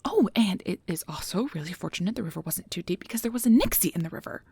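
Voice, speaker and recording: A woman playing a nervous, caring young magic researcher in an ASMR audio drama, rambling in a flustered, halting, apologetic way. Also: fairly low pitch, lively intonation, warm tone, slightly rough, exaggerated voice. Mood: explaining, flustered.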